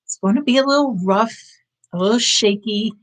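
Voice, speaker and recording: A woman's voice: fairly high pitch, a little fast, low-quality recording. A woman of fifty-nine hosting her own channel, giving a casual live-stream update in an earnest, reflective and encouraging way. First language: English. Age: 50s. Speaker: language-learning channel host. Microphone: live-stream setup.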